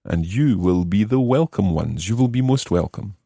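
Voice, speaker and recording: A man's voice: lowish voice, lively intonation, a little slow, warm tone, dry recording. Man, language lesson host, very varied intonation, step-by-step explanations, close dry sound. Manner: clear step-by-step explaining, repeating phrases. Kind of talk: scripted explanatory teaching narration.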